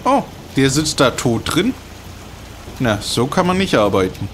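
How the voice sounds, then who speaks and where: A man's voice: mid-range voice, very animated, measured pace, noisy background. A man giving casual, dry, joking commentary as he plays a video game. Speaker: let's player commenting on gameplay.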